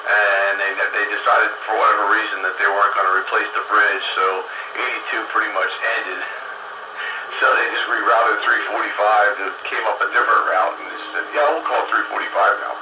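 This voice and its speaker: An older man chatting casually on the radio, recalling things in a rambling, halting way. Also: on the low side, little pitch movement. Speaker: radio show participant. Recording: noisy, muffled, roomy radio transmission.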